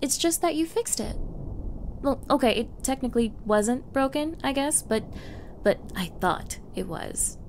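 A woman's voice: high voice, animated, measured pace, darkish tone. A woman playing a flustered, clingy young yandere in an ASMR girlfriend roleplay, with a coy, stammering manner and feigned ditzy innocence. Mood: playfully ditzy. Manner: stammering, coy, eager, breathy asides. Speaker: roleplay voice performer. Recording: faint background noise.

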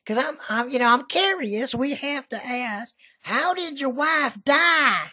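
A man's voice: very high-pitched, expressive, unhurried, sharp tone, heavily acted. A man doing a salty old Southern country woman. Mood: brash flirty. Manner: folksy, salty, drawling, calls people honey. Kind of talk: prank call.

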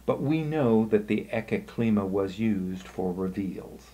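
A man giving an explanatory slide lecture in short, measured phrases with pauses. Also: lowish voice, animated, dark tone.

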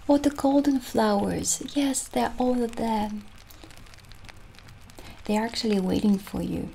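A young woman playing a gentle, kindly witch shopkeeper in an ASMR roleplay, soft-spoken and soothing, slipping toward breathy near-whispers. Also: a little high, takes it slowly, slight background noise. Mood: friendly, chatty. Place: small indoor candlelit set.

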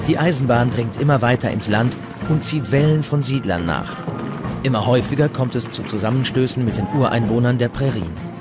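Guy doing scripted history doc narration, medium pitch, faint music under him. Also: brisk. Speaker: documentary narrator.

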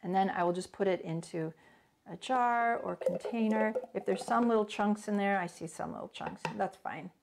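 Middle-aged woman, home cooking teacher, chatty and unscripted, talks at a normal middle pitch, faint hiss behind her. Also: normal speed, vocal fry. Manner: chatty, thinking aloud, with small asides. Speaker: cooking instructor. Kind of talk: casual cooking demonstration. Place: home kitchen, indoors.

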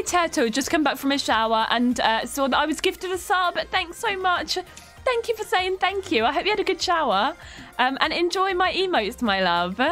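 A woman's voice: sits high, fairly bright tone. Stuffy-nosed woman streamer with a cold. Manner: chatty, affectionate toward chat, giggly. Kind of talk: live game stream chat. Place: home streaming room.

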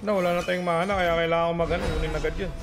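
A Filipino man giving excitable, slangy live commentary on a mobile game, repeating his catchphrases. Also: on the high side, very fast. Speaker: gaming streamer. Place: indoor gaming setup with headrest chair. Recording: voice over loud game music. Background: game music.

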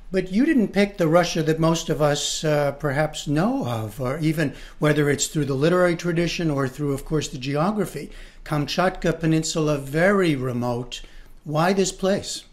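A man in his sixties hosts a book-club interview over a video call from a book-lined room at home. His voice sits fairly high for a man. He speaks in a measured way as he reads questions from viewers. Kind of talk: broadcast interview.

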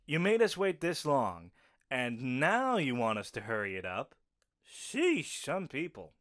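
Man playing a lively, frank young werewolf. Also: mid-range voice, measured pace. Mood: teasing. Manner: casual, blunt. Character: teasing, frank young male werewolf, leader's son. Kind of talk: audio drama acting.

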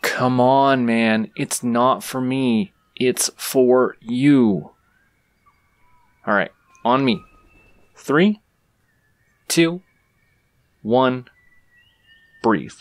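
A man's voice: medium pitch, very slow, pauses often, no echo. A man playing an easygoing, teasing traveling swordsman in an audio roleplay, casual and reassuring with a cocky streak, talking straight to the listener. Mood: calm, soothing.

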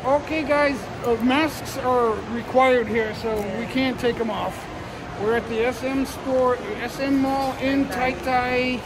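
A man's voice: extremely high pitch, steady tone, slow pace. A man hosting a casual travel vlog, chatting upbeat to viewers while filming on a phone. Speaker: vlogger, host. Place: busy indoor shopping mall and food court. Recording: loud crowd noise behind.

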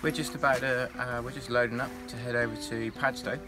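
A man in his thirties chatting casually as a boat skipper, halting and thoughtful. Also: mid-range voice, level tone, over music. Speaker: boat skipper and charity founder. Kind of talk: casual on-camera chat. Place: small boat wheelhouse and deck. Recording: loud engine and outdoor noise.

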